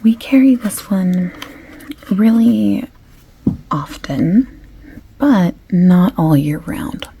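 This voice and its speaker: Soft, creaky woman in whispery ASMR. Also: mid-range voice, animated, a little hiss or hum, rough recording. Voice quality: soft, breathy, often dropping to a whisper. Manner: unhurried, chatty, overexplaining. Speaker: ASMR creator playing a perfume shop assistant. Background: light clicking and tapping of items.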